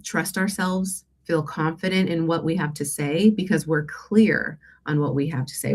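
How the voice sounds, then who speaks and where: A woman hosting a casual live coaching Q&A, congested from allergies, talking conversationally with hesitant pauses and check-ins with her listeners. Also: low voice. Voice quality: stuffy, congested nose. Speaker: host and life and business coach.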